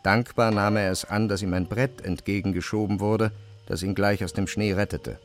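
A man narrating a literary radio drama in German in a measured, deliberate way. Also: on the low side, fairly even intonation.

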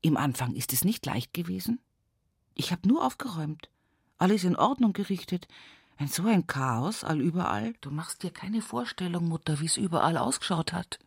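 A husky, lowish voice of a weary rural Bavarian woman nearing seventy. She speaks slowly and haltingly, devout and grieving, in a radio drama monologue recorded very dry. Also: big pitch swings, dry recording, exaggerated voice. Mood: indignant. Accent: Bavarian, Upper Palatinate. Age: 60s. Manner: halting, lamenting delivery.

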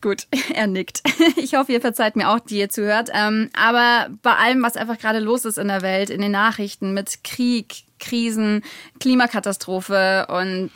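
An actress playing a gushing, playful young podcast host in a chatty studio interview within an audio drama. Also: female voice, medium pitch, steady tone, normal speed, a bit bright, clean tone, no room sound, natural voice. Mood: playful, flustered.

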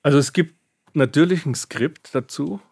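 A man's voice: fairly high pitch, normal speed, vocal fry, a little mellow, slightly rough, dry recording. Guy who does sound effects, chatting about his work, kinda hesitant, dry close studio sound. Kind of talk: explaining craft in conversation. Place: radio drama studio.

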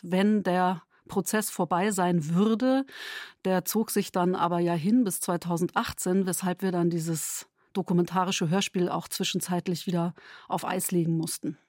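A woman's voice: fairly low pitch, fairly even intonation, normal speed, a little mellow, no echo, studio quality. A woman giving an explanatory interview about production work, setting things out step by step and rephrasing as she goes. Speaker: radio drama maker interviewed about the production.

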